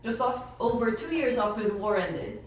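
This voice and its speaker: A woman's voice: on the high side, normal speed. A woman chatting casually while leading a team table read. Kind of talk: casual chat. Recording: echoey room, distant mic.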